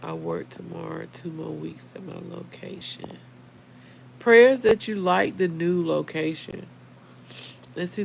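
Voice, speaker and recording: Slightly hoarse American woman, unhurried and gravelly. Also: sits low, a little hiss or hum, rough recording. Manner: conversational, earnest, addresses listeners directly. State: a little hoarse. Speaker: livestream host. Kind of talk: casual live chat reading viewer comments.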